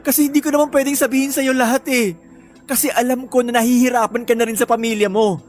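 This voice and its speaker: Guilty, pleading Filipino boyfriend. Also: male voice, extremely high pitch, fairly even intonation, quick pace, soft background music. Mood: apologetic. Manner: earnest, pleading, explaining. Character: guilty, apologetic young boyfriend, weary and self-justifying. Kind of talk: Filipino radio drama acting.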